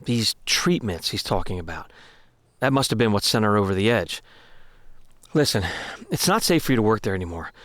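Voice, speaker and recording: A man's voice: fairly low pitch, fairly quick, no room sound. A man playing a worried, protective young man in a naturalistic audio drama, speaking with concern. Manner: expressive, concerned.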